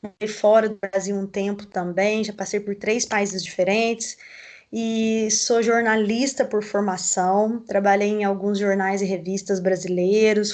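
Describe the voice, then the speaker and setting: A woman with a Mineiro accent answering interview questions over a video call, explaining things in a measured way with frequent tag questions. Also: medium pitch, darkish tone. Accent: Mineiro Brazilian Portuguese. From southern Minas Gerais, Brazil. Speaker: coffee growers' association representative.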